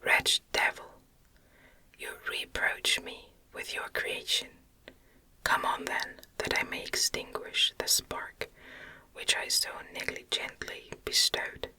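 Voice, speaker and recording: A narrator voicing a furious, scornful male creator of a monster in a breathy ASMR whisper, with archaic, accusing speech. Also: takes it slowly, bright, forceful tone. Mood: enraged. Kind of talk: whispered ASMR audiobook acting.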